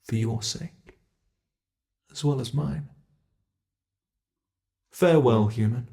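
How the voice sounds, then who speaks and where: A sadistic male monster from under the bed, played by a British man, taunting his prey in a gravelly, unhurried voice. He stretches every word out and lets his menace sink into soft whispers. The pitch sits at an ordinary middle height. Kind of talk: ASMR horror roleplay.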